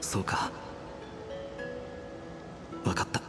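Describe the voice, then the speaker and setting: A man voicing a solemn, resigned young hero in a dramatic game scene, softly and with breathy phrase endings. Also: slow pace, close to an everyday voice. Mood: solemn, resolute. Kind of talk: dramatic Japanese game voice acting. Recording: game music under the dialogue.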